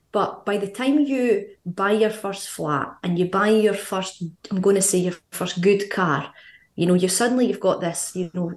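A woman's voice: mid-range voice, a little mellow. Scottish woman in an echoey room. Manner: chatty, quick, frequent fillers and laughs. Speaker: guest, fitness coach. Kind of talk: casual podcast interview.

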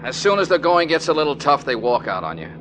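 Jokey private eye, voice jumps all over, old radio play. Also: male voice, on the high side, very animated, fast talker, a bit bright, a little hiss or hum. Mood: breezy. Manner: breezy, joking. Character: wisecracking male private detective, adult. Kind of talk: 1940s radio acting.

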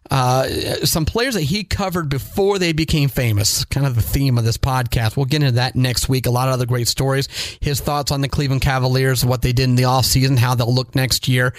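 A man's voice: medium pitch, no echo. A middle-aged man hosting a sports podcast, talking in a folksy, conversational storytelling way. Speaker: podcast host, high school sports broadcaster.

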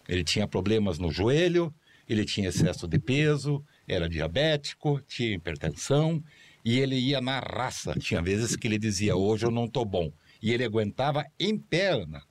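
A man speaks as a studio guest and recalls old times with animated fondness. His storytelling rises and falls with feeling as he chats at the table. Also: on the low side, normal speed. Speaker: former producer, studio guest. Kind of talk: studio chat.

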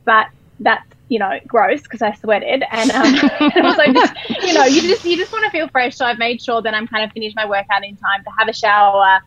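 A woman with an Australian accent and a croaky voice, a company co-founder chatting as a podcast guest. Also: fairly high pitch, a little fast, highs cut off, over a noisy crowd. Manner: chatty, upbeat, repeats words. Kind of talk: casual podcast interview.

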